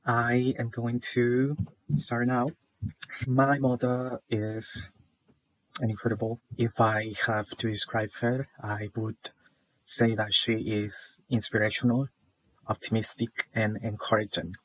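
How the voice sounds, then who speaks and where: A man's voice: medium pitch, flat delivery, slow, dry recording. Hesitant young man from El Salvador. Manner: hesitant, many fillers, shy. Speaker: student presenter. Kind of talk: class presentation. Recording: dull lo-fi dry sound.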